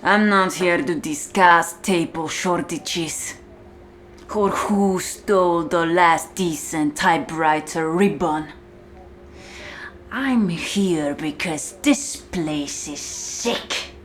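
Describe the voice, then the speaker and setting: Cold, very slow-speaking female warden, acted by a woman. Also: on the low side, slight background noise. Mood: mocking. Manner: slow, deliberate, menacing, often dropping to a whisper. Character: cold, contemptuous, commanding female prison warden. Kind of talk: ASMR-style dramatic roleplay monologue.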